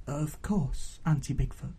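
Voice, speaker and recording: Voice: medium pitch, unhurried, gravelly, warm tone, breathy edge, full character voice. A storyteller voicing an earnest, flustered young bride who speaks hesitantly and pleadingly.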